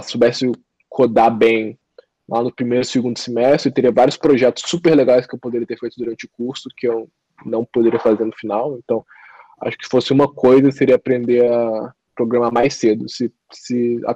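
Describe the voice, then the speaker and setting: Brief: young man, reflective podcast guest, dark mellow tone over a dull dry lo-fi line. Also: medium pitch, no room sound. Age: young adult. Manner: reflective, hesitant with many restarts. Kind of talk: casual interview answers.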